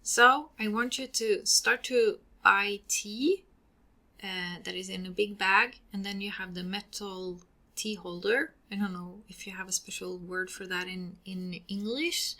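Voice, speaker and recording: Swedish woman podcasting, talks real slow, bright voice, breathy trailing ends. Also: mid-range voice, fairly even intonation. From Sweden. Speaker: podcast host. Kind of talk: solo podcast talk.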